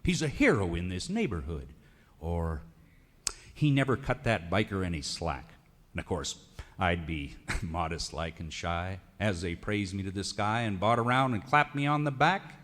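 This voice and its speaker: A man with an American West accent recites comic narrative cowboy poetry in a folksy, theatrical drawl with drawn-out emphasis. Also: deep voice, animated, fairly bright tone. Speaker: cowboy poet. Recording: reverberant hall recording of a radio show.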